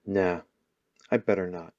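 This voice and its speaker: A man's voice: sits low, fairly even intonation, measured pace, no echo. A man playing an ordinary, hesitant young computer geek in a radio play, with an understated delivery. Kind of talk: dramatic radio play acting. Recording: dull sound, loud music.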